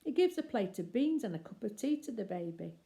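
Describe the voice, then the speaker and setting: A woman reading a children's story aloud expressively, with deliberate phrasing and emphatic pauses. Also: fairly high pitch, big pitch swings, creaky, mellow.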